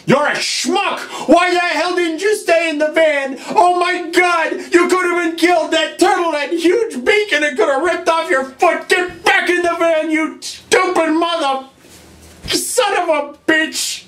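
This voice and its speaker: An echoey, distant recording of a high-voiced, gruff-tempered plumber in a comedy monologue. He is a put-upon older brother who rambles crudely to his customer and keeps tagging phrases with "right?". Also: lively intonation, unhurried, fairly bright tone. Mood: exasperated yelling. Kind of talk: comic character monologue, chatting to a customer. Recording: roomy echo, distant from mic.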